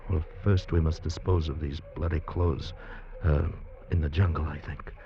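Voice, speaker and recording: An actor playing a grave, steady convict who narrates an adventure in measured, tense old radio style. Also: male voice, very deep voice, background noise. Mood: low, urgent. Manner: measured, tense, deliberate storytelling. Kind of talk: 1940s-50s radio drama narration and acting. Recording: muffled old radio broadcast, music behind.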